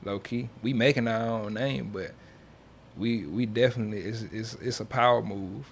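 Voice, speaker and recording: Young man chatting, low-pitched and slangy. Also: steady tone, moderate pace, gravelly, a little hiss or hum. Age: young adult. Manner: slangy, loose, peppered with check-in phrases. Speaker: interview guest, indie music artist. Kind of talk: casual interview chat.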